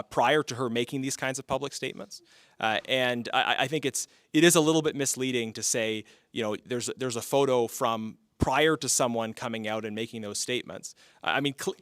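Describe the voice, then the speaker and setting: A man's voice: mid-range voice, fairly bright tone, no room sound. A man, a political candidate, answering audience questions at a debate, often restarting his sentences. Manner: halting, many restarts. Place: mosque hall.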